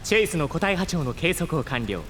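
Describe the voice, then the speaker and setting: An actor voicing a polite transforming gadget in a superhero audio drama, announcing and reporting formally. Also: male voice, a little high, sing-song, fairly quick. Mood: polite, efficient. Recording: long reverb, loud music over it.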